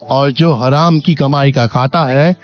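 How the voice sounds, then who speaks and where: Man acting a slow, polite rich village merchant. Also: mid-range voice, fairly even intonation, natural voice. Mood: earnest. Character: wealthy, kindly, clever village merchant, adult man. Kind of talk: Hindi animated moral story acting. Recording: dull lo-fi sound, faint music bed.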